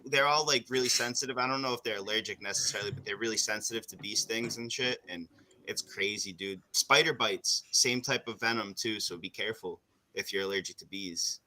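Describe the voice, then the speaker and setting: A man's voice: lowish voice, brisk, bright, forceful tone, poor audio. A man chatting casually in a group, slangy and emphatic.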